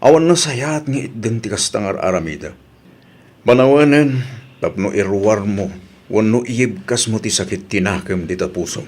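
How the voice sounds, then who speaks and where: A man's voice: lowish voice, lively intonation, normal speed, a little mellow. A man acting an earnest grown family man who reproves and pleads, in an Ilocano radio drama. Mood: gentle urging.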